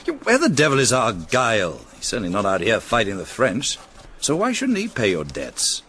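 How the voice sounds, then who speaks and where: An actor playing a brusque, sardonic aristocratic army commander in a radio drama, imperious and dry. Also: male voice, fairly low pitch, wildly swooping pitch, moderate pace, a bit bright, a little hiss or hum. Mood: dictating, fondly brusque.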